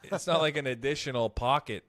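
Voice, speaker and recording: A man's voice: mid-range voice, a little fast, no room sound. A man co-hosting a podcast, chatting casually and teasing his co-host in a joking way. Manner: joking, teasing, lively delivery. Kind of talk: casual chat.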